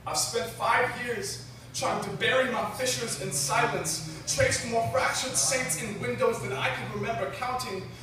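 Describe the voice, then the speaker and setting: A young man in his late teens performs spoken-word poetry with dramatic, deliberate phrasing and weighted pauses. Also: very high-pitched. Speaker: slam poet. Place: live poetry slam venue. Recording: echoey room, distant mic, clear noise.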